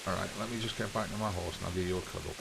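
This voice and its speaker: A man's voice: deep voice, steady tone, very fast, vocal fry, heavy background noise. A man giving laid-back, casual commentary while playing a game, thinking aloud. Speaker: gaming streamer. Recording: commentary over game music and rain. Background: game music, rain sounds.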